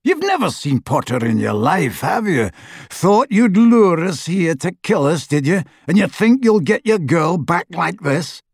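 A man voicing a brutish, sneering dark-wizard henchman in an audiobook, with a rough voice and a scornful, threatening manner. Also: medium pitch, big pitch swings, measured pace, dry recording, studio quality, full character voice. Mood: furious shouting. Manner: scornful, taunting, roaring. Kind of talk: audiobook character voice.

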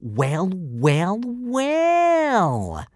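A man's voice: mid-range voice, wildly swooping pitch, moderate pace, a bit bright, breathy edge, dry recording, studio quality, exaggerated voice. A man voicing a smug young Viking bully in a storybook, drawling, jeering and mocking.

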